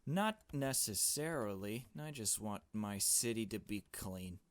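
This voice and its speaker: A man's voice: fairly low pitch, expressive, unhurried. A man voicing a blunt, secretive girl detective in a visual novel game, curt and dismissive. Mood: irritated, dismissive. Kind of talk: visual novel dialogue read aloud.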